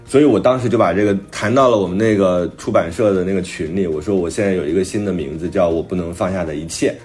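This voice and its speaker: A man's voice: low voice, quick pace, darkish tone, soft background music. A middle-aged man talking casually as a novelist, telling stories and acting out bits of quoted conversation. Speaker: novelist guest discussing own book.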